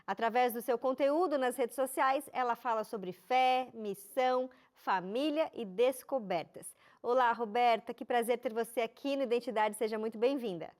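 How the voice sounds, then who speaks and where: Woman tv host, clear measured presenter voice, little higher pitch. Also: a little mellow. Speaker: TV show host. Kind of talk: scripted introduction and interview questions.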